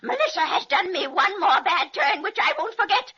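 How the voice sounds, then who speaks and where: An actress playing a wicked, spiteful old witch in a 1930s radio serial, cackling and shrill. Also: lively intonation, normal speed, sharp tone, a little hiss or hum, exaggerated voice. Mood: taunting. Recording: dull lo-fi 1930s radio recording.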